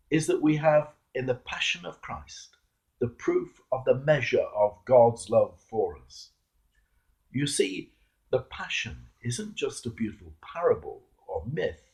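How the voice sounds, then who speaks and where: Mellow preacher, expressive and unhurried. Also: male voice, mid-range voice, low-quality recording. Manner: measured, earnest, rhetorical with emphatic pauses and tag questions. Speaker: Anglican clergyman, preacher. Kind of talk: devotional sermon reflection, read aloud.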